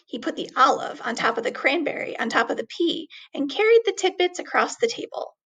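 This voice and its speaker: A woman reading a children's story aloud expressively, with deliberate, dramatic emphasis on words. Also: high-pitched, animated, a bit bright.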